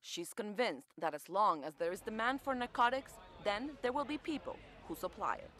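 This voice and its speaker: A woman correspondent narrating a scripted television news report in a measured, expressive way. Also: mid-range voice.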